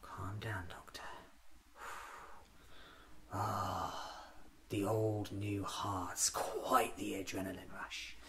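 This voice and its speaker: A man in his thirties doing an ASMR roleplay as a scatterbrained, excitable alien doctor, muttering to himself in fragments, often in a whisper. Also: sits low, drawn-out words, pauses often, a little hiss or hum. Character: scatterbrained, excitable time-travelling alien doctor, newly regenerated. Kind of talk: ASMR roleplay acted as a monologue.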